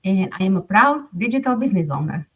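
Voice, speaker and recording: A woman's voice: mid-range voice, big pitch swings, quick pace, breathy edge. Older woman from Slovakia, distant dull mic. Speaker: panelist. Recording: dull distant video-call audio.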